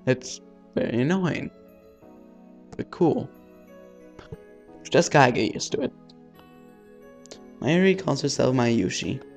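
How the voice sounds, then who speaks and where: A man's voice: mid-range voice, animated, slow, pauses often, soft background music. A man hosting a let's-play, reading a visual novel aloud with casual asides and the odd stumble over words.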